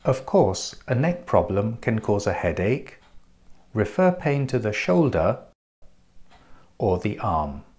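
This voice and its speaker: A man in his forties presenting a clinic's treatment in a measured, explanatory scripted voice, recorded on a lapel mic in a bright treatment room. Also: lowish voice, sing-song. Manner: measured, explanatory, pointing things out.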